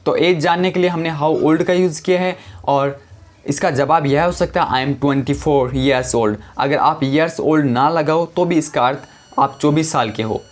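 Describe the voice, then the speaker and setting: A man teaching a lesson, calmly explaining step by step and saying each example sentence slowly and deliberately. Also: a little high. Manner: calm step-by-step explaining, repeats "guys". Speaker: English teacher.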